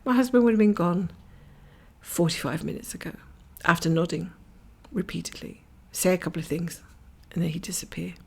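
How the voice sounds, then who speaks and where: Croaky woman from scotland, stuffy nose, rambly stitch chat, gravelly lowish voice, lots of half-whispered bits. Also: fairly quick. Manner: rambling, chatty, often drops to a whisper. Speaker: cross-stitch vlogger. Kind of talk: casual stitch-along chat. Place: at home, camera over her stitching hoop.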